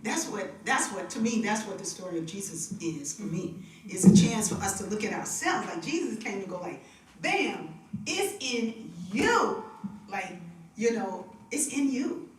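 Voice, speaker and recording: Lively, echoey woman preacher-singer. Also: mid-range voice, slight background noise. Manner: chatty, laughing, drawn-out storytelling. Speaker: devotional host and singer. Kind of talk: casual spiritual talk with storytelling. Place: live music room with band. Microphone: stage microphone with monitor feedback. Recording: echoey room from far off.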